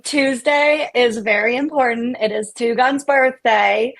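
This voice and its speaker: A woman's voice: fairly high pitch, measured pace, vocal fry. A woman in her twenties hosting a sports picks show, upbeat with short encouraging replies. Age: 20s. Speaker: show host. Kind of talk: casual chat.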